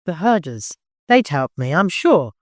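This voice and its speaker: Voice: mid-range voice, big pitch swings, a little slow, no echo, studio quality, pitched above the performer's own voice. A narrator voicing a frightened, defiant young woman, earnest and emotional. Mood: insistent.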